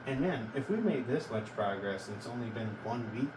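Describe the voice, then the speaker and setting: A man's voice: mid-range voice, lively intonation. Echoey, distant young man rambling to camera. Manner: rambling, halting, self-questioning. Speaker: vlogger. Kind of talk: casual self-reflective vlog talk. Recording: echoey, noisy, distant from mic.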